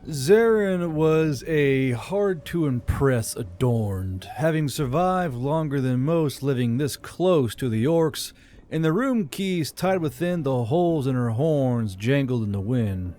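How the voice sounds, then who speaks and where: A man's voice: mid-range voice, expressive, slow, warm tone, faint music behind. A man giving scripted narration of a fantasy audiobook.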